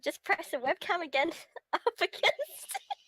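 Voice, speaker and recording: A woman's voice: very high-pitched, sing-song, a little slow, pushed and bright, dry recording. A woman streamer reading a visual novel aloud, giggly and breaking from the script with casual reactions. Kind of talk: live stream read-aloud with casual asides.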